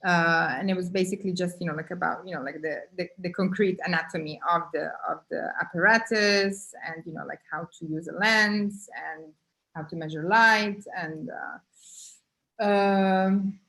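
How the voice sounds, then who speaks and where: A woman artist telling a story in a casual conversation, halting often with fillers, over a video call. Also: lowish voice, fairly even intonation, pushed and bright, rough recording. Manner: halting, many "you know, like" fillers. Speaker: guest artist.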